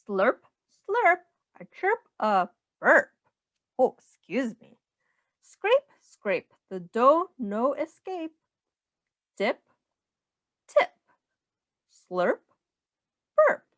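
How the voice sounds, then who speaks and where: A woman's voice: high-pitched, dry recording. A woman reading a children's picture book aloud in a sing-song way, as a teacher speaking simply to young children.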